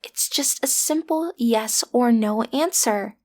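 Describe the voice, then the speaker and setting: Casting: woman as high-voiced, breathy teenage girl, an awkward villain in training, smitten and playfully threatening, audio roleplay. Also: slow pace, darkish tone. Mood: flustered, impatient. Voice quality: breathy, often dropping to a whisper. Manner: hesitant, flustered, playfully menacing. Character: lovestruck teenage schoolgirl, villain's daughter, awkward amateur kidnapper. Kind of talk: audio roleplay acting, intimate direct address to listener.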